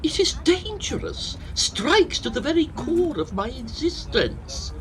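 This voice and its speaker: A man's voice: very high-pitched, sing-song, unhurried, slight background noise, heavily acted. A man acting a fussy, self-pitying older hypochondriac professor in a comic period farce, lamenting in florid theatrical style. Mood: alarmed, dramatic.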